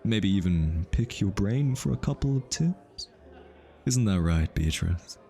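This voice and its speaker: A man acting a low-voiced, easily flustered smitten hitman. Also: lively intonation, a little slow, vocal fry, mellow, heavily acted. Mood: suave, teasing. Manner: teasing, stammers and backtracks when flustered. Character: easily flustered male hitman, teasing, smitten, posing as socialite. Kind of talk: ASMR roleplay acting.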